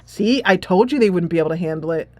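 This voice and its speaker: A woman's voice: on the low side, expressive, fast, no echo, close to an everyday voice. A woman voicing a hostile teenage demon girl in a video game, biting and theatrical in her threats. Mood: contemptuous. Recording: music playing behind.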